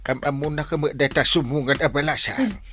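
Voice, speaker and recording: A man with an Ilocano accent playing an excitable, stammering, blustering village captain in a radio comedy. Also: a little high, brisk. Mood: flustered. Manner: stammering, repeats short exclamations.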